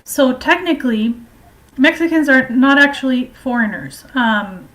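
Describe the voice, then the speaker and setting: Woman w a highish voice explaining politics and history informally, kinda halting and hesitant. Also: lively intonation, a bit bright, poor audio.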